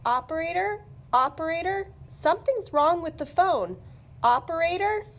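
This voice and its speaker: A very high, swooping female voice acted by a woman as a worried suburban housewife. She sounds flustered, in an amateur script read-aloud. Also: animated, normal speed, fairly bright tone, heavily acted. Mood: anxious, repetitive.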